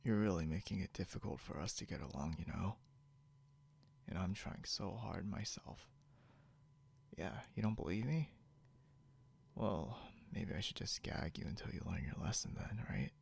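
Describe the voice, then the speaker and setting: A man playing a possessive, grudgingly caring non-human captor in an intimate ASMR roleplay, gravelly and often slipping into a whisper, muttering haltingly and condescendingly, with noise behind him. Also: sits low, expressive, a little mellow, full character voice. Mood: smug, threatening. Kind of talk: ASMR roleplay, intimate second-person acting. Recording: noticeable background hiss or hum.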